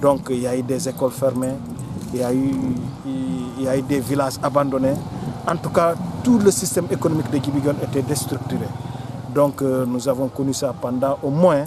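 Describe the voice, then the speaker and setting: A man with a Senegalese accent giving an interview answer as a local organiser, speaking haltingly and repeating words as he searches for phrasing. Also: medium pitch, fairly even intonation, noisy background. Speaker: local official or forum organiser.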